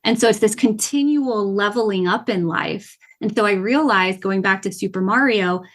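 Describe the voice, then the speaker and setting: A woman's voice: mid-range voice, moderate pace. Upbeat woman guest on a podcast. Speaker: podcast guest, gamification coach. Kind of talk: casual podcast conversation.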